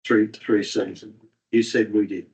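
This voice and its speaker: A man councillor giving brief, halting support in a meeting. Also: lowish voice, flat delivery, darkish tone. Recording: dull lo-fi meeting audio.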